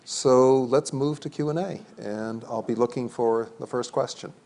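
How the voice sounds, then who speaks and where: A man's voice: mid-range voice, normal speed, a little mellow, slight background noise. A man moderating a panel discussion before a live audience, talking in a conversational, self-deprecating way. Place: event hall before an audience.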